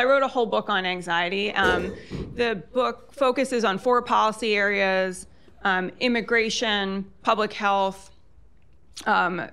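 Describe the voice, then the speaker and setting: A woman's voice: medium pitch, fairly bright tone. A woman political science professor speaking on a panel, articulate and explanatory. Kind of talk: academic panel talk. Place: lecture hall with online audience.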